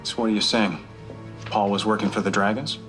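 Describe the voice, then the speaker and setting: An actor playing a skeptical police detective, questioning and matter-of-fact in a screen drama. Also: male voice, on the low side, fairly even intonation, brisk, natural voice. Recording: music under the dialogue.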